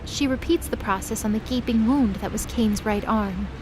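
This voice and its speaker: A woman's voice: fairly high pitch, moderate pace, noisy background. A woman giving scripted, dramatic narration as the narrator of an audio drama.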